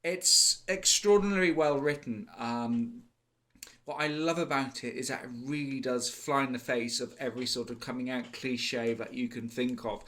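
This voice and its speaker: A Welsh-accented man talks through a theatre review in a loose, unscripted way, piling on praise. He rambles, stumbles and restarts often, filling gaps with ums and likes. His voice sits at an ordinary middle pitch. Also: normal speed, pushed and bright. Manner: enthusiastic, rambling with many fillers and restarts. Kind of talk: casual spoken review.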